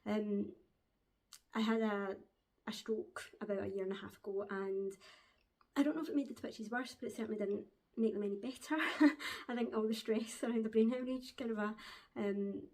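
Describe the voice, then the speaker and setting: Glaswegian woman host, casual and hesitant, mid-pitched, laughs easily between thoughts. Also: steady tone, normal speed, a little mellow. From Glasgow, Scotland. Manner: chatty, hesitant with frequent ums, laughs often. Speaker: crafting podcast host. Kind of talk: casual chat to camera. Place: at home, seated with a dog on her lap.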